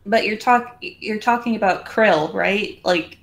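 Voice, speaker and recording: Mid-pitched woman roleplaying casually. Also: unhurried, creaky. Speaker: tabletop game player. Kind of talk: casual improvised roleplay chat.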